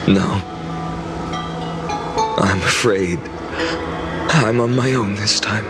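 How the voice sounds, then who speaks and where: An actor playing a solemn, weary and resolute young hero with a grave, gravelly delivery in a dramatic video game. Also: male voice, lowish voice. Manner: slow, grave, deliberate. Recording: loud music under the voice. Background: loud music.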